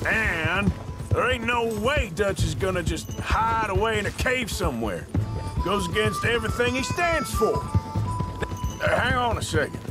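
An actor playing a gruff, cynical Wild West gunslinger in a video game, with an American Southern drawl. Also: male voice, high voice, animated, a little slow, loud music behind the voice. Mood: wary, matter-of-fact. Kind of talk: naturalistic video game acting.

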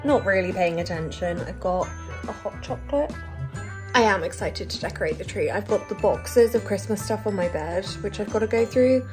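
A woman's voice: mid-range voice, lively intonation, moderate pace, music in the background. A young woman from England with a head cold, chatting casually and bubbly to a handheld camera in her car and bedroom. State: congested with a cold. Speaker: vlogger. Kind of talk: casual vlog chat. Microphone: camera held at arm's length.

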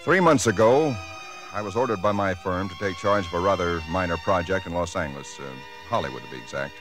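Male, thirties, low voice: sober, uneasy everyman narrator for vintage radio suspense, measured delivery, music underneath. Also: fairly bright tone. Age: 30s. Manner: measured, formal, deliberate storytelling. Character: ordinary married chemical engineer, sober, uneasy, recounting dread. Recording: 1940s radio broadcast with music behind.

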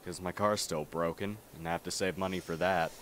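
A man's voice: low voice, fairly even intonation, fairly quick, a bit bright, slight background noise. A man playing a snarky, theatrical young goth art student in a comic ASMR roleplay.

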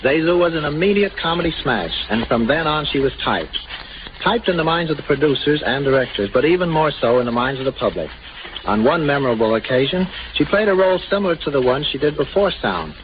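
A man's voice: on the high side, lively intonation, a little fast. A man presenting a radio show with scripted narration in 1930s style. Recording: noisy, muffled 1930s radio broadcast.

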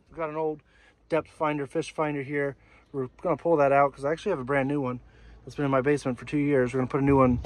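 A man's voice: fairly high pitch, a little mellow. A man in his thirties with an American accent, talking casually and in a folksy way as a vlog host, outdoors on a handheld camera. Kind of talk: casual vlog tour talk. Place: outdoors in a residential yard. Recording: noisy outdoor action-camera audio.

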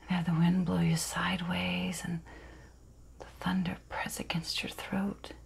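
Woman acting a hushed, intense teenage girl. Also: fairly low pitch, completely flat delivery, slight background noise. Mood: hushed, yearning. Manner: hushed, breathy half-whisper, slow and conspiratorial. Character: intense teenage girl entranced by magic and storms. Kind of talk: dramatic stage monologue.

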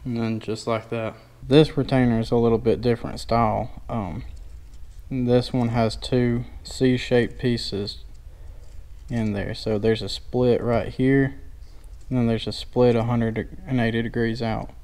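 A man's voice: mid-range voice, level tone, a little slow, a little mellow. A plainspoken man narrating a hands-on mechanical tutorial in a casual, informal way, as a DIY mechanic in a garage. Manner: plainspoken, informal, folksy wording. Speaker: DIY mechanic demonstrating a tool. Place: indoor garage workshop.

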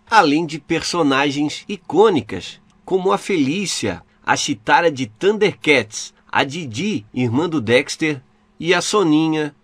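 A man's voice: fairly high pitch, fairly bright tone, husky, no echo. A Brazilian man hosting an interview, reading out an upbeat introduction and questions. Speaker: interview host.

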